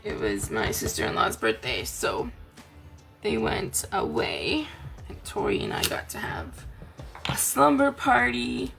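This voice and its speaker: A tired woman with a raspy voice, chatting casually as a crafting host, rambling gently. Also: fairly high pitch, huge pitch swings, a little slow, over music. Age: adult, aunt. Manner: rambling, chatty, soft-spoken. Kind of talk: casual chat to camera.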